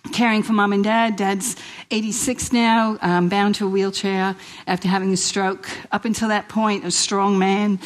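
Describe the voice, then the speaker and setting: A woman's voice: mid-range voice, level tone, normal speed. New York-accented woman preaching informally. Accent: New York with Italian and Australian traces. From New York, United States. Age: middle-aged. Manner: chatty, exhortative, with repeated phrases and rhetorical questions. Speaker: guest speaker at a church women's event. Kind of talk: informal inspirational sermon to a live audience.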